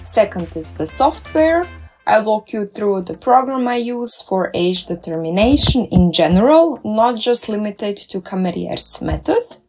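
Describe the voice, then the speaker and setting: A woman's voice: mid-range voice, very animated, unhurried, a little mellow, soft background music. Upbeat woman explaining a tutorial. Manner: upbeat, careful step-by-step explaining. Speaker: dentist and doctoral researcher. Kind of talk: scripted tutorial narration.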